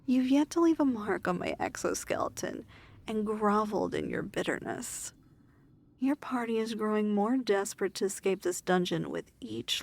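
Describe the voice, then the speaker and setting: A woman playing a haughty, weary demon lord in an ASMR fantasy roleplay, speaking in a formal, measured, imperious manner. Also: medium pitch, gravelly. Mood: suspicious, stern.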